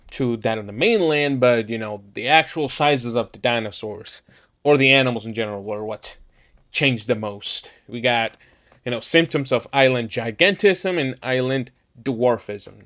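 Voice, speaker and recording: Rambling enthusiastic male tier-list host. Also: medium pitch. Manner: rambling and enthusiastic, heavy on filler phrases. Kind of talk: casual ranking commentary.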